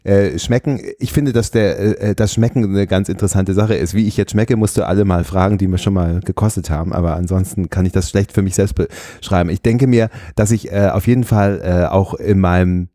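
Deep, dark-voiced man musing slowly. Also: steady tone, no echo, clean studio sound. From northern Germany. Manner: reflective, searching for words, long winding sentences. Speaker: guest, illustrator and painter. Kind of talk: casual interview. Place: studio.

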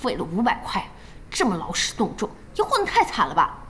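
An actress playing a feisty, hot-tempered young woman gamer in an audio drama, outspoken and quick to exclaim. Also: mid-range voice, wildly swooping pitch, fairly bright tone, a little hiss or hum. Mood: surprised, gossipy.

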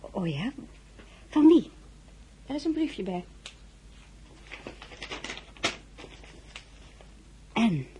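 A tender yet proud royal wife in a radio drama. Her voice is mellow and very breathy, intimate and hushed, full of feeling. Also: a little high, big pitch swings, takes it slowly, heavily acted. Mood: wistful, shaken. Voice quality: soft, breathy. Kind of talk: audio drama acting with first-person narration.

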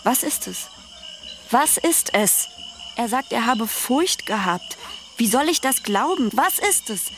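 A woman's voice: on the high side, animated, takes it slowly. An actress playing an anguished, distrustful young woman in love, insistent and intense, in a radio drama. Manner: insistent, questioning. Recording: faint background noise.

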